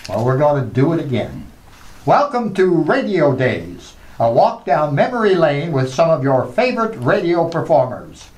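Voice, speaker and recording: A man's voice: on the high side, very animated, moderate pace, a little mellow, rough recording. A man working as show announcer, delivering a scripted radio show introduction.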